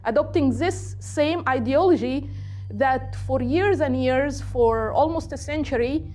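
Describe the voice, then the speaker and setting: Female speaker, Egyptian accent, native Arabic, high register, earnest activist addressing a forum in measured phrases, light music underneath. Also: darkish tone. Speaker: political activist and guest speaker. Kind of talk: public talk on politics, speaking off the cuff.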